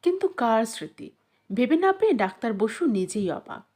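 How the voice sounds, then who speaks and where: A woman narrating a literary story in an expressive, dramatic and measured storytelling manner. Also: fairly high pitch.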